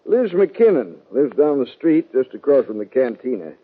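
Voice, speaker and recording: A man's voice: medium pitch, big pitch swings, moderate pace, husky. A man playing a hard, hostile town sheriff with a Western American accent, curt and blunt, in 1950s radio western style. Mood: guarded. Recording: tinny vintage 1950 radio recording.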